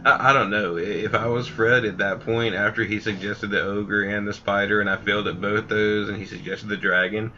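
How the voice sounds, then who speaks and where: A man's voice: lowish voice, little pitch movement, fairly quick, hardly any pauses, pushed and bright, lo-fi sound, a little hiss or hum. A man giving casual let's play commentary, wry and chatty, talking back at the game. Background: game music.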